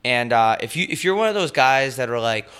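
Raspy young man, crude banter. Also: mid-range voice, lively intonation, brisk, fairly bright tone. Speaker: podcast co-host. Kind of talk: casual chat.

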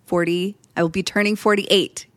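A woman's voice: fairly low pitch, steady tone, moderate pace, fairly bright tone, dry recording. A woman in her forties hosting a podcast, upbeat and chatty, laughing often. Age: 40s. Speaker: podcast host. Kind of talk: casual podcast chat.

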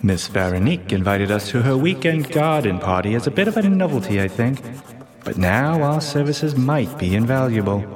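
An actor playing an earnest, admiring sidekick to a detective in a comic murder-mystery audio drama, speaking formally and a little hesitantly. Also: male voice, medium pitch, very animated, normal speed, crowd noise, lightly acted. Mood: grave narration. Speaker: sidekick and narrator.

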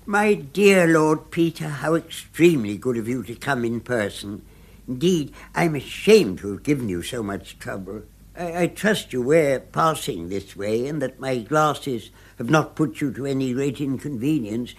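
An actor playing an elderly, old-fashioned English solicitor in a radio drama, effusively polite and apologetic. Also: male voice, mid-range voice, lively intonation, a little slow, breathy edge. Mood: gracious, apologetic.

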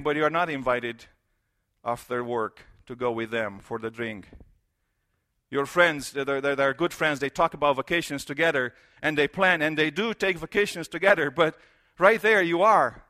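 High, forceful preacher. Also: male voice, moderate pace. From Romania. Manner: emphatic, building with repeated phrases and rhetorical questions. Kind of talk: sermon.

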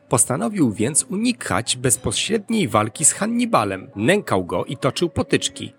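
A man's voice: mid-range voice, a bit bright, breathy edge. A man hosting an educational podcast, reading scripted narration.

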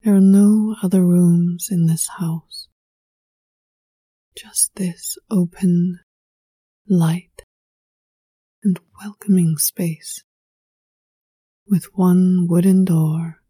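Very soft, breathy woman guiding meditation. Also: fairly low pitch, steady tone, dry recording, studio quality. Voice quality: soft, breathy, often near whisper. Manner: hushed, soothing, unhurried. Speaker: guided meditation leader. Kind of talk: guided meditation, slow poetic scripted narration. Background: music.

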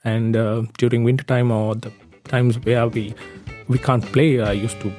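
A man's voice: medium pitch, flat delivery, moderate pace, a little mellow, faint music behind. A young man from Sri Lanka, a biotechnology master's student, introducing himself casually with many hesitant fillers. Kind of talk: casual self-introduction for a lab video.

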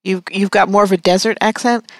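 A talk radio host from New York with a New York accent, speaking in a bubbly way and quick to laugh. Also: medium pitch, fairly even intonation, no room sound. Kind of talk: radio talk show hosting.

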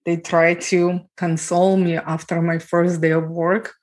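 A woman from Ukraine speaking English with a Ukrainian accent and small grammar slips, telling her life story as an interview guest in a steady, matter-of-fact way. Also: lowish voice, unhurried. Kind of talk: personal testimony in an interview.

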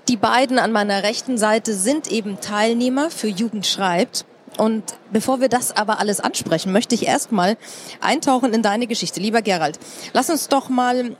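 A woman's voice: fairly high pitch, slight background noise. A woman hosting a panel talk, chatty and personal, with friendly asides to her guests. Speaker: host.

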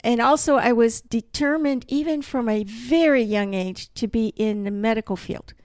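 A middle-aged woman from Colombia, Spanish her first language, telling her life story as a podcast guest in an earnest, animated way. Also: a little high, moderate pace, no echo, clean studio sound. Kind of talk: personal testimony in an interview.

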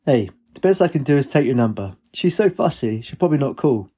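A man's voice: mid-range voice, lively intonation, a little fast, warm tone, husky, pitched above the performer's own voice. A man voicing a boisterous, big-hearted middle-aged father in a story, teasing and forthright. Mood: brash.

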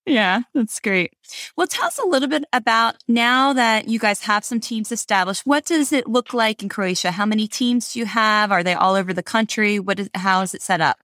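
A woman's voice: mid-range voice, normal speed, fairly bright tone, no room sound, clean studio sound. Encouraging woman podcast host. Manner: friendly, encouraging interviewer. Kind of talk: podcast interview.

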